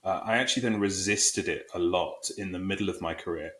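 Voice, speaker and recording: A man's voice: low voice, brisk, a bit bright, echoey room, a little hiss or hum. A middle-aged man talking as an interview guest, reflective and self-deprecating about his career. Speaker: guest, people and talent executive. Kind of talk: conversational interview.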